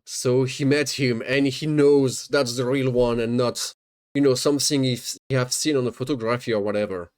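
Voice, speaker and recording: A man's voice: mid-range voice, steady tone, moderate pace, a little mellow, smooth. A man streaming, sarcastically mocking a video as he watches it, often stammering and swearing. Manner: sarcastic, swears, jokes and mocks; stammers and repeats words. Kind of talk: casual reaction commentary.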